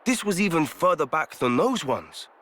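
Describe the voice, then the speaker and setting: An actor playing an earnest, inquisitive young fighter in a video game cutscene, blunt and questioning. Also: male voice, on the high side, big pitch swings, normal speed, fairly bright tone. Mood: puzzled.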